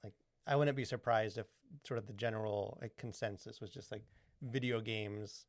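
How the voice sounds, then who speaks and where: A man's voice: fairly low pitch, fairly even intonation, moderate pace, dry recording, studio quality. A man co-hosting a podcast in casual chat, rambling with lots of "like" and "you know". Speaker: podcast co-host.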